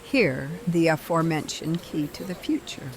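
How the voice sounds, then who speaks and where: An actress voicing a calm, formal and assured mysterious woman trader. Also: low voice, very noisy, close to an everyday voice. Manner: measured, deliberate, formal.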